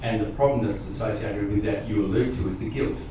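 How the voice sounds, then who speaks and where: A man hosting an interview, speaking loudly and haltingly with many repeated words. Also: on the low side, fairly even intonation. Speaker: host, interviewer. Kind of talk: interview conversation. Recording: echoey room, far from the mic, clear noise.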